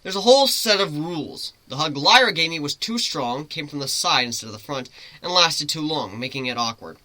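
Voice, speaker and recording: Male reader as wisecracking griffin hero, informal and teasing, sharp bright tone, dry close sound. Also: a little high, animated, a little fast, no echo. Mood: wry, explaining. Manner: informal, teasing, rambling explanations. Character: cocky, joking male griffin, formerly a troubled human.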